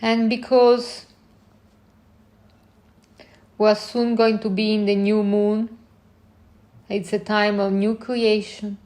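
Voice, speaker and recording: A woman guides a meditation at a very slow pace. She speaks gently in short phrases and leaves long pauses between them, with a mid-range pitch. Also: little pitch movement.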